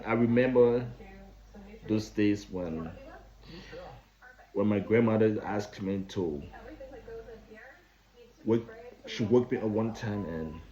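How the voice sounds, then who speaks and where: A man in his thirties with a Liberian accent, telling his own story in a halting way, often repeating and restarting phrases. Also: on the low side, darkish tone. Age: 30s. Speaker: vlogger. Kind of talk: personal storytelling. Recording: dull lo-fi recording.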